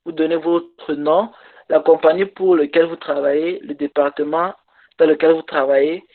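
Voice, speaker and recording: Halting man on a tinny call. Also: a little high, steady tone. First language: English. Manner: halting, searching for French words. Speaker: conference speaker. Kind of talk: online workshop talk. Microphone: video call. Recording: tinny phone-like call audio.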